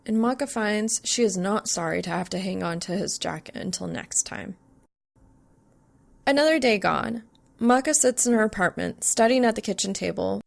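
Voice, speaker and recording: A woman reading a scripted story aloud as narrator, with an expressive, dramatic delivery. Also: medium pitch, creaky. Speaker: fan fiction narrator.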